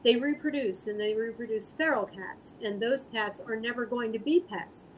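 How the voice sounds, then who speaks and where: A woman speaking as an interview guest, answering casually in an earnest, halting, thoughtful way. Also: on the high side, slight background noise, low-quality recording. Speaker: guest artist and animal rescue volunteer.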